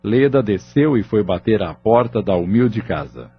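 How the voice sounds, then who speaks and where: A man narrating a scripted audio novel in a formal, measured manner. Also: fairly low pitch, expressive. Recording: dull lo-fi audio with music underneath.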